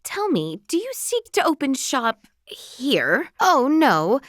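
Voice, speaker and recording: A woman's voice: sits high, huge pitch swings, slow, fairly bright tone, dry recording, studio quality, heavily acted. A woman voicing a gracious, earnest young royal lady in a fantasy video game, speaking in formal, courtly, old-fashioned phrasing. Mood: courteous, gentle. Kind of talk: scripted video game voice acting.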